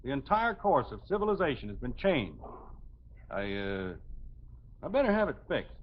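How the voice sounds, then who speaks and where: Blustering 1950s radio sitcom dad. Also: male voice, mid-range voice, big pitch swings, normal speed. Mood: casual scheming. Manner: blustering, hemming and hawing. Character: exasperated suburban father scheming to escape the house. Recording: dull vintage 1950s radio recording.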